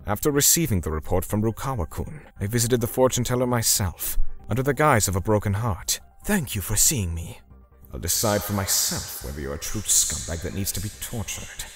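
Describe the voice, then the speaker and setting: A man's voice: on the low side, animated, a little fast. An actor voicing a solemn, menacing torturer who narrates his vengeance in a dramatic manga dub. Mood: measured. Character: grim, self-righteous male torturer seeking vengeance for victims. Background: music.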